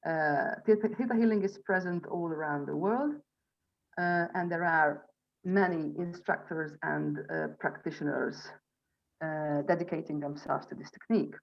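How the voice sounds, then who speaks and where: A woman in her forties with a Hungarian accent, giving an informal spiritual presentation to an online group as a healing instructor and coach, in halting, deliberate phrases. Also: low-pitched, gravelly. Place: online video call from Budapest. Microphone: video call microphone. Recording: dull, lo-fi video call audio.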